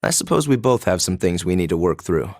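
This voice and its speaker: An actor playing a cool, composed young noble student in a video game, speaking formally and with measured, aloof diction. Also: male voice, low voice, expressive, a little mellow, dry recording. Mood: firm, reproving. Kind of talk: English video game voice acting.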